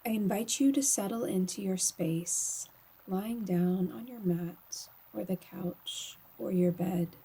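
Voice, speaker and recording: Breathy, very soft, slow-speaking woman guide. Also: mid-range voice, low-quality recording. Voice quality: soft, breathy, husky, often near a whisper. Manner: calm, soothing, unhurried with long pauses. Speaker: yoga nidra guide.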